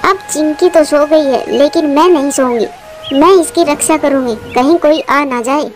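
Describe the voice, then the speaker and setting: A woman's voice: high voice, normal speed, faint music behind, lightly acted. A woman voicing a worried, loving mother bird in a cartoon, pleading and tender.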